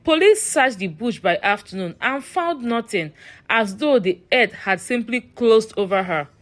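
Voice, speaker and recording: A woman's voice: fairly high pitch, expressive, unhurried, a bit bright. A woman narrating a scripted horror story in a dramatic, suspenseful manner.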